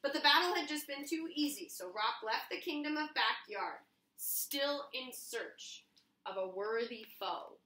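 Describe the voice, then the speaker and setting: Bright, high-voiced young woman reading aloud. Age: young adult. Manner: expressive, drawn-out dramatic narration. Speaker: teacher reading aloud to children. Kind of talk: animated picture-book read-aloud. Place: small home kitchen, indoors. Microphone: camera a few feet away. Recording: roomy kitchen, camera a few feet away.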